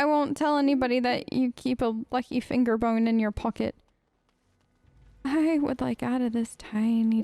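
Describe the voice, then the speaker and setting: A woman's voice: a little high, dark tone, no room sound. A woman giving casual role-play gameplay commentary as a let's play host, with a playful, hesitant, reactive way of talking.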